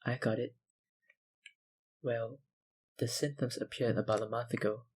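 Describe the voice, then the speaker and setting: A man playing an obsessive, dominant, hungry vampire researcher in an intimate ASMR roleplay, with a breathy, gravelly voice and a softly menacing manner. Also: medium pitch, steady tone. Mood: confiding. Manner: slow, intimate, softly menacing, lapsing into whispers.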